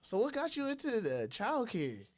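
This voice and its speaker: A man's voice: extremely high pitch, wildly swooping pitch, moderate pace. A man hosting a casual podcast, asking questions and chiming in eagerly and agreeably. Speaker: podcast host.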